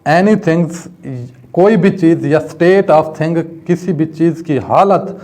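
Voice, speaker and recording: A man's voice: fairly high pitch, takes it slowly, darkish tone. A man with a Pakistani accent lecturing as a law teacher, didactically reading out and explaining material, often posing questions and answering them himself.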